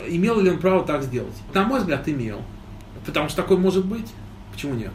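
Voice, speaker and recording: A man's voice: a little high, sing-song, fast, poor audio. Man, amateur photographer, reflective interviewee, sudden emphatic rises, faint noise behind. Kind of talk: interview at an exhibition. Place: photo exhibition.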